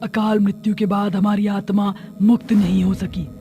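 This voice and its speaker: A man voicing a gold-greedy female ghost witch in a horror story, measured and theatrical. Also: sits high, level tone, vocal fry, mellow, faint music behind, pitched above the performer's own voice. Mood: confessing.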